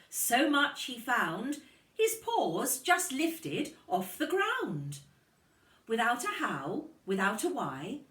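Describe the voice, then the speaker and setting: Female storybook reader, teacher type: animated, very unhurried, recorded a little distant in a roomy space. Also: medium pitch. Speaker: teacher reading aloud to children. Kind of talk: expressive picture-book reading. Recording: echoey room, distant microphone.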